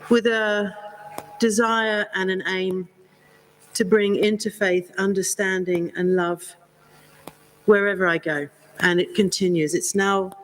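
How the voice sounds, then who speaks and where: Woman, reverend, foreign accent, guest address, slow and deliberate, mid pitch. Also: low-quality recording. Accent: non-South African. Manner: warm, measured, grateful, storytelling. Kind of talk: interfaith address. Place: convention hall.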